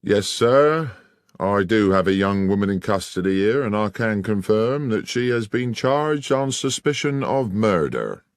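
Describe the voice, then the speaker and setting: A man's voice: on the low side, lively intonation, unhurried, dry recording, clean studio sound, voice pushed up. A man voicing a pompous village police sergeant. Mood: smug. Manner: pompous, condescending. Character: smug middle-aged village police sergeant.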